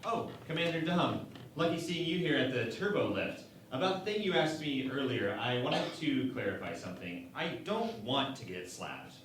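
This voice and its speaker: A man's voice: medium pitch, very animated, moderate pace, fairly bright tone. A man playing an earnest, oblivious and tentative crewman in a sci-fi parody radio play. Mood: awkward, flustered. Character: awkward, earnest, slow-witted male starship crewman. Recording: very echoey, distant mic, faint noise.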